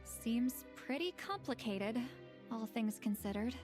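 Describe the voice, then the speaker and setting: A blunt, grumpy female tracker, dry and brusque. She speaks slowly with swooping intonation, a little higher than most women. Clear game music plays behind. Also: animated. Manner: blunt, grumbling, unhurried. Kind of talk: game cutscene voice acting.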